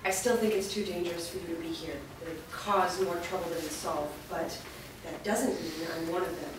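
A woman's voice: on the low side, normal speed, natural voice. Echoey, cautious villager played by a woman. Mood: defensive. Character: cautious, defensive female armed villager. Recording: echoey room, far mic, clear noise.

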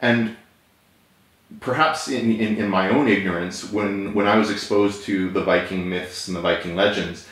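A male interviewer asking long, halting questions with many restarts. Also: lowish voice, steady tone, a bit bright. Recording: very echoey room, off-mic.

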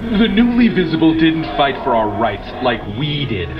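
An actor playing a resentful activist ghost, indignant and declamatory, through a radio broadcast effect in an audio drama. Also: male voice, on the high side, big pitch swings, normal speed. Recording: dull distant radio, loud music behind.

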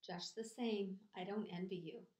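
A woman's voice: medium pitch, steady tone, measured pace, a little mellow, lightly acted. Old female sheep for a storybook, woman narrator acting: matter-of-fact, blunt, gossipy, cynical; reverberant room. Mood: nosy, needling. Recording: echoey room.

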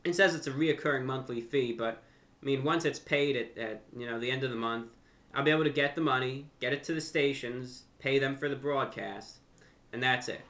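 A young adult man with an American accent speaks casually to his viewers as the host of his own channel. His voice is bright and forceful, sitting at an ordinary male pitch. He is earnest and conversational, often restating a phrase to drive it home. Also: fairly quick. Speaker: host of a video channel and radio show. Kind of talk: casual monologue to camera. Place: furnished room at home.

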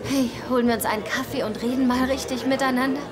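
A woman's voice: sits high, steady tone, fast talker, close to an everyday voice. A woman dubbing a playful, engaged young woman who talks in a wry, chatty way. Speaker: dubbed sitcom character. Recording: bar music and crowd behind.

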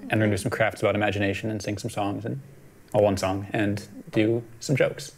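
A man's voice: fairly low pitch, level tone, fairly quick. A young man co-hosting a children's storytime, casually explaining things in a small studio. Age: young adult. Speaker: storytime co-host.

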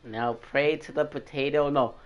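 A man in casual game-party chat, bragging and teasing his friends. His voice sits at a middling pitch, coming through a dull, muffled lo-fi line. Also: steady tone, moderate pace. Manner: boastful, playful trash talk. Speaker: gamer chatting in a party while waiting for a match.

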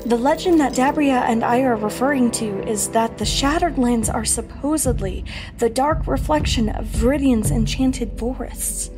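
Actress as thoughtful young female mage, fantasy radio play. High voice, hesitant, music underneath. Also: normal speed, natural voice. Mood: grave, wondering. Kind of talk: fantasy audio drama acting.

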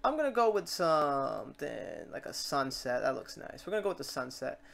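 A tutorial presenter talking informally through software steps and thinking aloud while working. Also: medium pitch, big pitch swings, fairly quick, creaky. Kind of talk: casual screen-recorded software tutorial.

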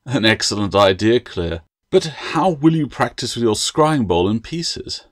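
A man's voice: medium pitch, big pitch swings, measured pace. A man voicing a stern, pompous older schoolmaster with a snapping, sarcastic manner, in an audiobook fantasy tale. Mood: mocking, severe.

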